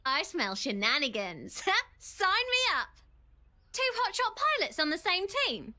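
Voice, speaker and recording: High, cheery young pilot. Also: female voice, huge pitch swings, a little slow, pushed and bright, full character voice. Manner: breezy, friendly.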